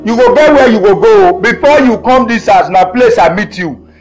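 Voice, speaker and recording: A man acting as an angry husband with a Nigerian accent, barking orders at his wife in a comedy skit. His voice sits high for a man, with faint music underneath. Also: animated, normal speed, low-quality recording. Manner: scolding, shouting orders.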